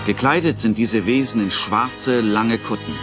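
A man narrating an audio drama from a script in a dramatic, suspenseful manner with deliberate phrasing. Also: fairly low pitch. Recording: music clearly behind the voice.

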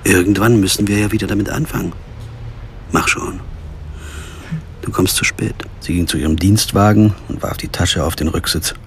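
A man playing a lawyer who narrates a crime radio drama in a measured, understated way. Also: low voice, steady tone, a little hiss or hum. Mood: quiet, urgent. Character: male lawyer, sober narrator of a crime story. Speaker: narrator and lead actor. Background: music.

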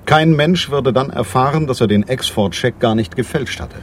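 A man's voice: mid-range voice, normal speed, a little hiss or hum, close to an everyday voice. An actor playing a tough, unbending male private detective in a radio crime drama, stern and matter-of-fact. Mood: coldly accusing. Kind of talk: 1980s German radio crime drama acting.

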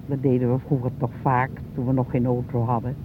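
A man's voice: flat delivery, a little fast, natural voice. A male actor playing a fussy, anxious older spouse in a naturalistic radio drama, coaxing as they talk. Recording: tinny, noisy recording with vehicle rumble.

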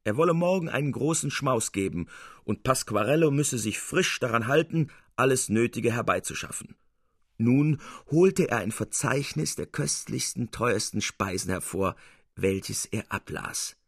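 A man narrating a scripted literary tale for radio as an expressive, theatrical storyteller. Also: medium pitch, unhurried, breathy edge, no room sound, studio quality.

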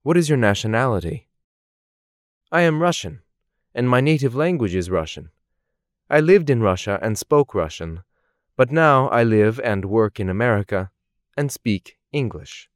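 A man reading scripted English course dialogues in a slow, clearly articulated, measured way. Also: medium pitch, lively intonation, no echo, studio quality. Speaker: language course voice actor.

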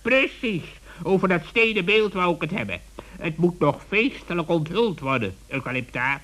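A man playing a sly, polite forest animal in a children's radio play, with measured, mock-humble courtesy. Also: sits high, expressive, fairly bright tone, rough recording. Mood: courteous.

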